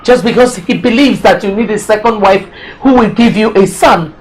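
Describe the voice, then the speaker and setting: A woman's voice: medium pitch, normal speed, darkish tone, lightly acted, low-quality recording. An actress playing a mother and queen who scolds her son and gives orders to her servants. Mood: pleading. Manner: scolding, commanding. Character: stern mother queen of a palace. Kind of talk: melodramatic drama acting.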